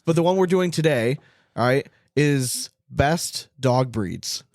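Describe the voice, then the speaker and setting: A man hosts a podcast in a casual, bantering way. His mid-pitched voice is recorded dry, with very little room sound. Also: expressive, takes it slowly, a little mellow, dry recording. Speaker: podcast host.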